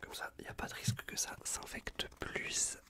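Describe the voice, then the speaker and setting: A man with a French accent from France whispers a casual, reassuring ASMR roleplay as a caring survivor tending a wounded stranger, breathy and close to the microphone. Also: moderate pace, bright, forceful tone. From Bordeaux region, France. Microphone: close Blue Yeti microphone.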